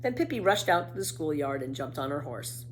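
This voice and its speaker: A woman reading a children's story aloud as narrator, in an expressive way. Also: low-pitched, fairly quick, slight background noise. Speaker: storybook reader.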